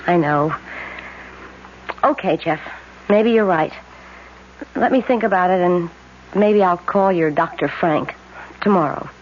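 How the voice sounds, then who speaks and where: A woman's voice: lowish voice, unhurried, long pauses. An actress playing a troubled, devoted young American woman in a 1970s radio drama, speaking in an earnest, emotional, hesitant way. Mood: troubled, wistful. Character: troubled, devoted young daughter, soft-hearted twin sister. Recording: muffled old radio recording with hiss.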